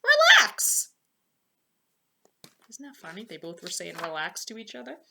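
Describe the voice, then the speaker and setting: A woman reading a storybook aloud to young children, expressively, pausing for asides and questions to her listeners. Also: medium pitch, expressive, creaky, fairly bright tone, a little hiss or hum.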